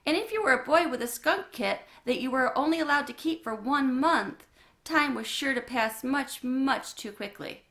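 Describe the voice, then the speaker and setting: A woman reading a children's book aloud in a warm, expressive storytelling manner. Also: sits high, normal speed.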